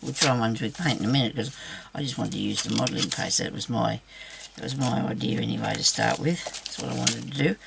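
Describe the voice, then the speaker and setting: A middle-aged woman with a low raspy voice, chatting and rambling to viewers through a crafting demo. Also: a little fast, background noise. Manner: rambling, self-chuckling, talks to viewers. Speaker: craft vlogger.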